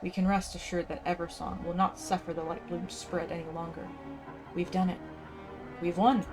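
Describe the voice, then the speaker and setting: A woman's voice: lowish voice, level tone, normal speed. Woman gamer commentating over game music. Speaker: gaming video host. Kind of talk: casual game playthrough commentary, reading quest text aloud.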